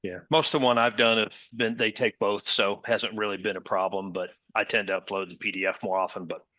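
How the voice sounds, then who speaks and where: A man's voice: on the low side, little pitch movement, a little fast, sharp tone, dry recording. A man taking part in an online group discussion, casually and agreeably sharing his own experience. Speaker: group participant. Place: online video call. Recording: dry, slightly dull call audio.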